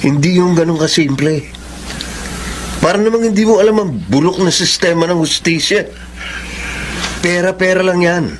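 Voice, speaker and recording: A man's voice: sits high, normal speed, long pauses, a little hiss or hum, natural voice. A man playing a worried, resentful older brother in a radio drama, anxious and reproachful. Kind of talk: Filipino radio drama acting.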